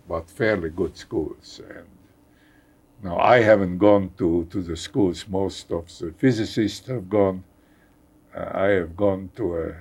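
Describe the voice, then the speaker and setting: An older man from Hungary with a Hungarian accent, speaking haltingly as a guest in a conversational interview, repeating words and chuckling wryly. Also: deep voice, darkish tone, slightly rough. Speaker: guest scientist.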